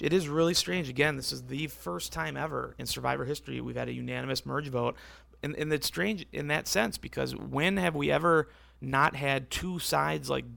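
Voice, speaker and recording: A man hosting a casual solo podcast, rambling and correcting himself as he talks, with a voice that is going hoarse. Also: mid-range voice, fairly bright tone, dry recording. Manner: rambling, self-correcting, conversational. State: losing his voice, hoarse. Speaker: podcast host.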